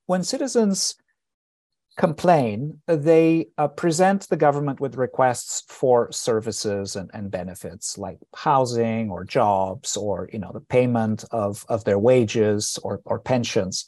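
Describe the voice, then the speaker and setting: A middle-aged man with a Bulgarian accent giving scholarly interview answers in a deliberate, halting, explanatory way. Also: medium pitch, warm tone, no echo, clean studio sound. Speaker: author and scholar being interviewed.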